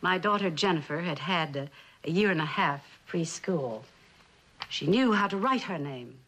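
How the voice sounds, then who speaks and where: Cold rich older mom in an old tv drama, lowish voice, formal, imperious, clipped. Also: female voice, animated, normal speed, fairly bright tone. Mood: cold, insistent. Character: cold, proud, well-to-do mother, unyielding. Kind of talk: mid-century television drama acting.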